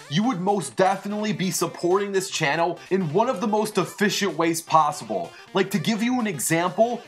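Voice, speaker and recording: A video host reading scripted commentary and addressing viewers directly. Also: medium pitch. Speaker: host of an animated versus show. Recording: music under the voice. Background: background music.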